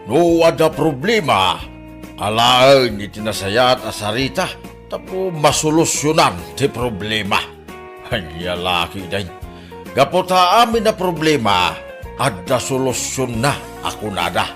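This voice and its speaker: A male radio drama announcer with an Ilocano Filipino accent reads scripted narration. His delivery is slow and animated in pitch, over soft guitar music.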